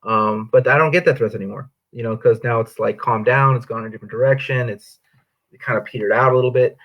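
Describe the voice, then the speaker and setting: Fast-talking outspoken male host. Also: mid-range voice, highs cut off. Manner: outspoken, emphatic. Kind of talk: casual interview.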